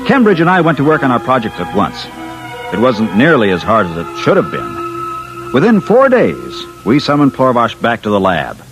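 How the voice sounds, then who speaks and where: A man's voice: medium pitch, wildly swooping pitch, moderate pace, rough recording. An actor playing a wry, wary engineer who narrates his own adventure in an old-time radio drama. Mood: wry narration. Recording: vintage radio with music underneath.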